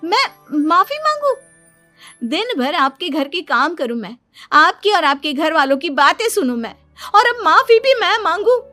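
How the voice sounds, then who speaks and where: Woman voicing a high-pitched, whiny, boastful young wife. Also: very animated, measured pace, sharp tone, soft background music, heavily acted. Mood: indignant. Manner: melodramatic, complaining, self-praising. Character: whiny, boastful, self-pitying young married woman, a daughter-in-law. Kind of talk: Hindi cartoon story dialogue.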